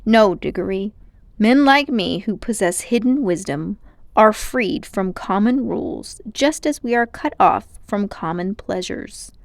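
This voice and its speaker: A woman's voice: mid-range voice, animated, a little slow, gravelly, dry recording. Lady doing a grand self-important old magician uncle for a storybook, talks down to you, very swoopy, dry close sound. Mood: pompous, condescending. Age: older. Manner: pompous, grandiose, condescending. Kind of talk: storybook character voice.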